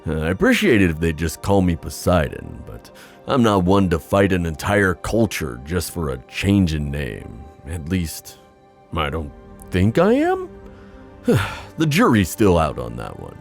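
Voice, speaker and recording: A boastful, playful sea god, acted by a man in a fantasy audio roleplay. The voice is low and gravelly, with theatrical, drawn-out phrasing that takes its time. Faint music plays underneath as he teases and schemes. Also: wildly swooping pitch, heavily acted. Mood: amused, confiding. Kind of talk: ASMR fantasy roleplay acting.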